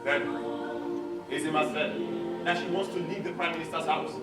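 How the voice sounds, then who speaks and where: A male stage actor with a Nigerian accent narrates theatrically in a high register. He is heard from a distance in an echoey hall, with music behind him. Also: steady tone, normal speed. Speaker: stage actor narrating a one-man play. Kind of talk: theatrical scripted storytelling.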